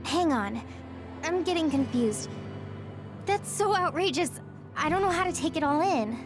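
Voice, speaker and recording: A woman voicing a sweet, sincere young woman in an English video game dub, with an earnest, gentle manner. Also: high-pitched, lively intonation, a little slow, music in the background. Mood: bewildered.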